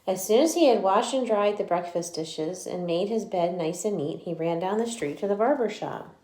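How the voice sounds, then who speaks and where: A woman reading a picture book aloud to children like a teacher, with animated storytelling and chatty asides. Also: medium pitch, moderate pace, a little hiss or hum. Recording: echoey room.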